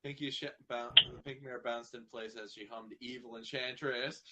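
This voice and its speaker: A man's voice: medium pitch, steady tone, moderate pace, bright, forceful tone. A man casually narrating a story and chatting with friends as he reads. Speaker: narrator in fan fiction reading.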